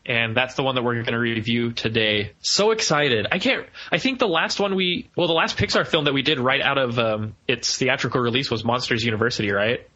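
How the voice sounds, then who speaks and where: Man, bright voice, mid pitch, podcast host, enthusiastic, jokey, upbeat banter. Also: a little fast, hardly any pauses, lo-fi sound.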